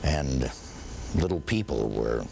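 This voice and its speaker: A middle-aged man recalling events for a documentary interview in a halting, deliberate way. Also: rumbling low, animated, creaky, a little mellow, slight background noise.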